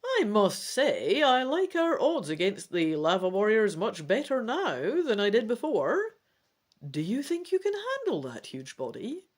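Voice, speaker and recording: A woman's voice: a little high, wildly swooping pitch, measured pace, voice pushed up, heavily acted. A woman voicing a brave, wry female elf sword fighter in a dramatic gamebook reading. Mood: wry relief.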